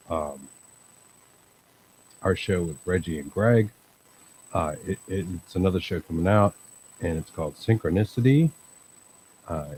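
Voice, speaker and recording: Calm low-voiced man hosting. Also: a little hiss or hum, low-quality recording. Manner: calm, rambling, many fillers. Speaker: host. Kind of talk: casual livestream chat.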